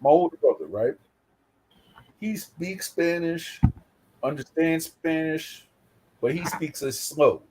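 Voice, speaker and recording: A man's voice: on the high side, takes it slowly, pauses often, background noise. A man with a New York accent from the Bronx, hosting a casual chat and telling rambling stories with many fillers. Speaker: host.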